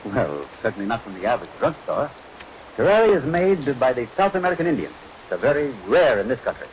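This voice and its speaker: An actor playing a grave, precise professional man in a 1940s radio drama, speaking in a measured, formal, explanatory way. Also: medium pitch, big pitch swings, close to an everyday voice. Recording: noisy vintage radio recording, rain over it.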